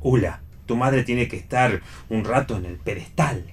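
A young Argentine bohemian man, played by an actor in a radio play. He is sardonic and teasing, tossing out dry, mocking lines in a mid-pitched voice. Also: moderate pace, close to an everyday voice. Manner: dry, mocking one-liners.

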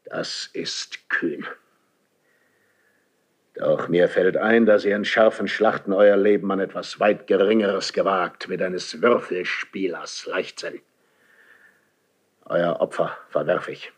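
Proud, lonely monarch, imperious and distrustful; deliberate classical verse delivery for radio theatre, unhurried. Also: male voice, low voice, fairly even intonation. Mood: low, contemptuous. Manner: declamatory, commanding. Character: proud, suspicious, imperious king, lonely and wrathful. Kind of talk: 1970s German radio play, classical verse acting.

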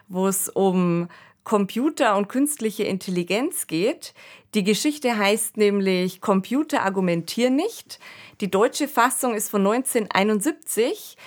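A woman hosting a podcast in a conversational, discussing style. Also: medium pitch. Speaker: podcast host.

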